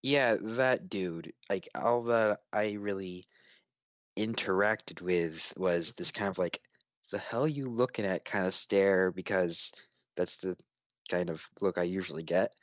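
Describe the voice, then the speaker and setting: A man with a low voice talks casually as a player in a tabletop game, feeling his way through loose, rambling explanations. The sound is dry and a bit dull, with very little room in it. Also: steady tone, slow, no room sound. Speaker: player in a tabletop role-playing campaign. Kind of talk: casual chat.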